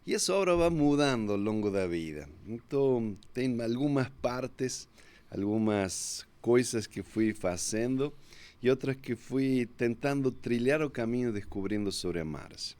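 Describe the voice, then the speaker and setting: A man in his fifties from Córdoba, Argentina, with a strong Argentine Spanish accent, telling his life story as a podcast guest in a relaxed, reflective way. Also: medium pitch. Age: 50s. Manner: unhurried, reflective storyteller, often restarts with "então". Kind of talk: casual storytelling interview.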